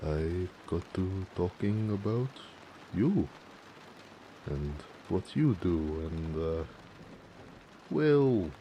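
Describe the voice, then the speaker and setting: Casting: male actor as a tender, shy orc blacksmith for romance audio, very low and dark-toned, hesitant, homely. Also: slight background noise. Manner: soft-hearted, hesitant, with pauses and hedging. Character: gentle, bashful, affectionate male orc blacksmith, homebody. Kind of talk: intimate romantic audio roleplay acting.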